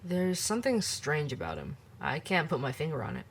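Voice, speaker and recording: A man playing an investigator who asks measured, gently probing questions. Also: fairly high pitch, wildly swooping pitch, a little hiss or hum. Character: calm investigator, curious and tactful.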